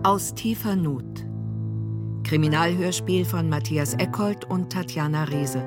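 Female radio drama announcer, scripted read, deep for a woman, slow delivery. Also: over loud music.

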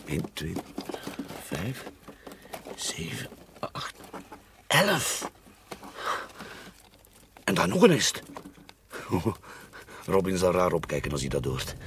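An actor playing a jovial, gruff middle-aged father with a Flemish accent and an animated, chummy way of talking in a radio drama. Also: extremely low pitch, slow pace, long pauses, a little hiss or hum, heavily acted. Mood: astonished. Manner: animated, colloquial, talks chummily. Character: jovial, gruffly cursing family father, dismissive of worry.

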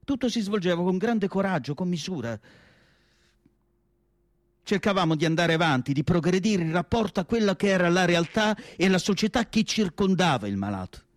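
Radio drama: melancholy, earnest asylum doctor, slightly high male voice, deliberate weighty delivery, very dry sound. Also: very animated, dry recording. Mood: fond, earnest. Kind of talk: theatrical radio drama monologue.

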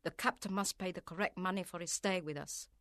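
A man's voice: high-pitched, a little fast, pushed and bright, no echo, clean studio sound, voice pushed up. A man voicing a stubborn middle-aged mother who keeps an inn, speaking firmly in an audiobook. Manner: firm, insistent.

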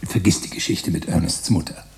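An actor playing a wry, digressive narrator in a literary radio play, musing in a conversational, ironic way. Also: male voice, sits low, brisk, natural voice. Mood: fussy, grumbling.

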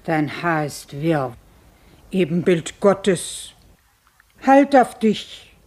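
A woman's voice: on the low side, big pitch swings, extremely slow, a little mellow, exaggerated voice. An actress playing a pious, stern peasant foster mother in a radio play, solemn and urgent as if chanting prayers. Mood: grave, tender. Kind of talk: classic German radio play acting.

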